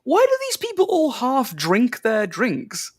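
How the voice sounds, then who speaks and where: A man with a lively, swooping voice riffs on a film at a watch party. He is jokey and animated and laughs often between comments. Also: very high-pitched, measured pace. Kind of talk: casual film commentary chat.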